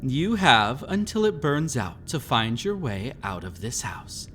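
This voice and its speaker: A man performs a sinister, ancient master of a haunted estate for an audio drama. He speaks slowly, savouring each taunt with theatrical, playful menace. His pitch swoops expressively, and faint ambient noise sits behind the voice. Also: sing-song, fairly bright tone. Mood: whimsical threat. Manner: teasing, taunting, theatrical, with frequent sly chuckles.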